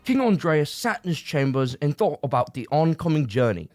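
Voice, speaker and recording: A man's voice: fairly high pitch, sing-song, moderate pace, darkish tone. A man narrating a scripted fantasy audio drama as a storyteller. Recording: prominent music bed behind.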